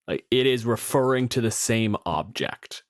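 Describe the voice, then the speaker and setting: A man hosting an analytical podcast discussion, explaining things in measured, emphatic phrases. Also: mid-range voice, expressive, no room sound, clean studio sound. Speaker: podcast host.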